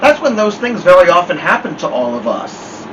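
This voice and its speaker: A man's voice: sits high, sing-song, moderate pace, a bit bright. A man hosting a children's story time, speaking with dramatic emphasis as in a picture book read-aloud. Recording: dull, distant mic with clear background noise.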